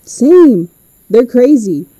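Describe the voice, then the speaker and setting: A woman playing an anxious, chatty American high school girl who rambles nervously into an audio diary in a podcast drama. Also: very animated, extremely slow, mellow, dry recording, exaggerated voice, rough recording. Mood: earnest, wistful. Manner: nervous, rambling, self-deprecating.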